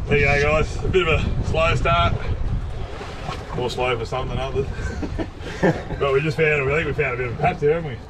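A man's voice: fairly high pitch, fairly quick, pauses often. A man hosting a fishing video, chatting casually and laughing often while out on a boat at sea. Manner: laughs often, upbeat banter. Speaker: fishing channel host. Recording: loud wind and boat noise.

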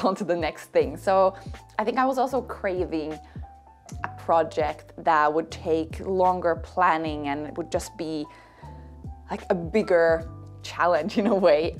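A woman in her thirties from Finland whose first language is Swedish, chatting casually to camera in her living room about making a knitting book, relaxed, with frequent fillers and light laughs. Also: fairly low pitch. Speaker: knitwear designer and vlogger. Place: bright living room at home.

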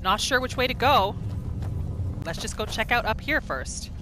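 A woman giving excitable playthrough commentary, exclaiming and talking to the game's characters as she roleplays. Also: fairly high pitch, normal speed. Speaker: gaming video host. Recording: game music behind the voice. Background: video game music and sounds.

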